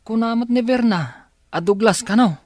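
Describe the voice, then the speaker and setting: A man's voice: very high voice, normal speed, a little mellow, no echo. A man acting an earnest, respectful young son in an Ilocano radio drama. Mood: curious. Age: young adult.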